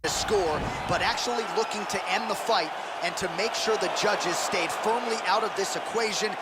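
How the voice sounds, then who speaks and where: A man's voice: sits high, moderate pace. A man giving play-by-play commentary on a video game fight. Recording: loud arena crowd noise behind. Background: roaring arena crowd.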